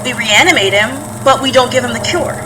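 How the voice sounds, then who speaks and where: A woman's voice: on the high side, brisk, distant mic, background noise, natural voice. A woman acting in an audio play as an earnest, stubborn young daughter, imploring on behalf of her monstrous father. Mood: pleading, insistent.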